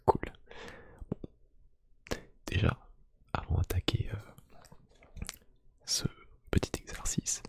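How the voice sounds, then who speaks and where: A man doing a soft-spoken ASMR roleplay, gently whispering one-to-one to the listener in a hushed, breathy voice. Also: dry recording. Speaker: ASMR YouTube creator. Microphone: close microphone.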